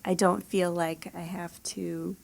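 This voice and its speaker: A woman's voice: fairly low pitch, flat delivery, slow pace, gravelly. A middle-aged American woman author answering interview questions in an informal, conversational way, sometimes voicing imagined speakers. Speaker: author and editor being interviewed.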